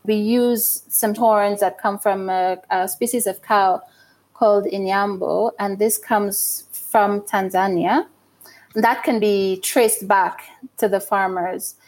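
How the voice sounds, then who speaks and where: A woman's voice: mid-range voice, darkish tone. A middle-aged woman from Guyana giving thoughtful, explanatory interview answers in a conversational style.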